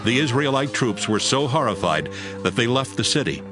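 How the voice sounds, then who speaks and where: A man narrating scripture from a script as a measured, dramatic storyteller. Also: medium pitch, sing-song. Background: music.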